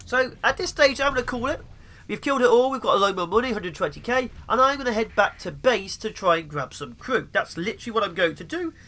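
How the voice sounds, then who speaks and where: A young man with the flu, sounding sniffly, giving excitable let's play commentary. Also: high-pitched, quick pace. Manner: excitable, mutters at enemy ships. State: sniffly with flu, sore throat. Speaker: gaming YouTuber.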